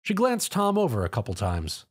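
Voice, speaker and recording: A man's voice: medium pitch, huge pitch swings, moderate pace, husky. A man narrating a scripted story in a dramatic storytelling style.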